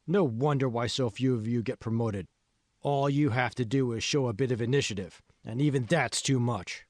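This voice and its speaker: A man's voice: mid-range voice, moderate pace, no echo, clean studio sound, lightly acted. A man voicing a bossy, irritable foreman in an audiobook, coarse and impatient, haranguing his crew. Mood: grumbling, scornful. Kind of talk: audiobook character dialogue.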